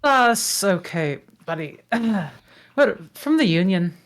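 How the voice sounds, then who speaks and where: A woman playing a blunt, sly male ogre in a comic table read, talking in a deliberate, wry way. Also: mid-range voice, sing-song. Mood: sly, bluffing. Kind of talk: comic table read of an animated film script.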